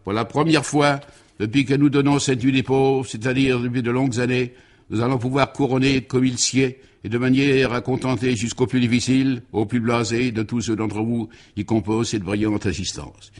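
A man's voice: medium pitch, fairly even intonation, moderate pace, lightly acted. Man playing a pompous, declaiming baron, voice raised. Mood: proud, announcing. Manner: declamatory, grandiloquent speechmaking. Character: pompous aristocratic baron addressing a charity gala.

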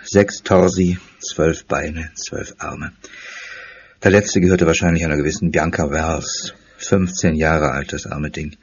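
An actor playing a dry, matter-of-fact chief police inspector in a German audio drama. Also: male voice, very deep voice, steady tone, measured pace, exaggerated voice. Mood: wry, grim. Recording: dull sound with clear background noise.

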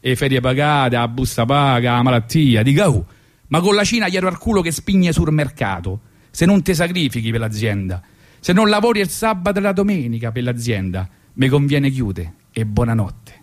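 A man's voice: mid-range voice, expressive, normal speed, lightly acted. A man voicing a smug, falsely regretful boss in a satirical monologue, with a hypocritically polite, self-justifying manner. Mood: aggrieved.

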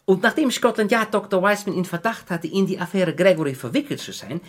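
An actor playing an awkward, apologetic gentleman in an old-fashioned radio play, speaking formally and hesitantly. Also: sits high, quick pace. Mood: embarrassed.

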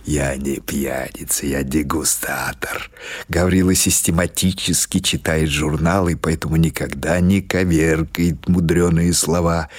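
A man's voice: deep voice, slightly rough, no room sound. A man reading literary prose as a scripted audiobook narration.

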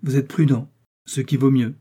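A man puts on a powerful old cardinal in a storybook reading, smooth and lofty, coaxing and pressing. The voice is pitched higher and louder than the reader's own, with a dark, slightly husky tone. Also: steady tone, normal speed, close to an everyday voice. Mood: persuasive. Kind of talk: audiobook character reading.

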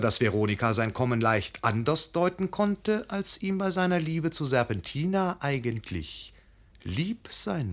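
A man narrating a literary fairy tale in a scripted, storytelling style for a radio play. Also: mid-range voice.

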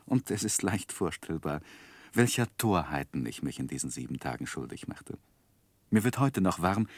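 An actor playing a gentleman who tenderly recalls his infatuated youth, narrating a 1960s German radio drama in a wistful, literary manner. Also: sits low, husky, no echo, full character voice. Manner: wistful, ornate literary phrasing.

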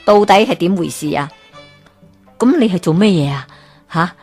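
A woman's voice: on the low side, expressive, moderate pace, a little mellow, husky, soft background music, pitched below the performer's own voice. A woman voicing an anxious middle-aged woman pleading with a friend in a radio storybook, with a Hong Kong Cantonese accent. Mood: worried.

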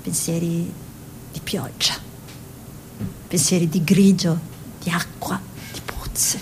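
A woman's voice: steady tone, slow pace, darkish tone, in a whisper. An actress playing a spirited, plain-spoken peasant-born woman painter in a conversational, dreamy piece of theatrical radio storytelling. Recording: noisy with low rumble.

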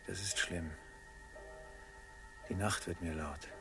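A man's voice: deep voice, steady tone, slow pace, gravelly, music in the background, exaggerated voice. An actor playing a haunted, brooding merchant in a German radio play, grave and fatalistic in his delivery.

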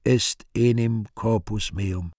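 A man acts a solemn priest in a radio play, intoning liturgy slowly and evenly. The voice is husky and the sound is very dry. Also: fairly low pitch, fairly even intonation, darkish tone, no echo. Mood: solemn, ritual. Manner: slow, measured liturgical intoning. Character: solemn male priest celebrating Latin Mass.

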